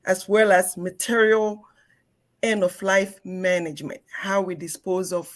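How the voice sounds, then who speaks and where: Measured woman introducing herself. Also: mid-range voice, steady tone. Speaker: panelist, industry expert. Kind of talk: formal self-introduction.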